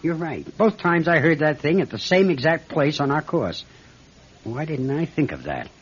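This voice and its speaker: A man's voice: a little high, lively intonation, normal speed, husky. A man playing a troubled, determined airline captain in an old-time radio drama, speaking in terse, commanding bursts. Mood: troubled, intent. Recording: noisy muffled radio drama recording.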